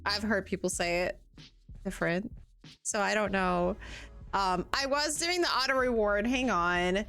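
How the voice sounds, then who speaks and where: A woman's voice: mid-range voice, unhurried, creaky, fairly bright tone, faint music behind. A woman streaming a game, chatting casually with her viewers in a bubbly, giggly, self-deprecating way, sounding tired.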